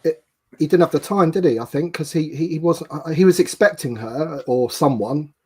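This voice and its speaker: A man's voice: a little high, moderate pace, a little mellow, breathy edge. A man speaking as a panelist in a casual fan discussion.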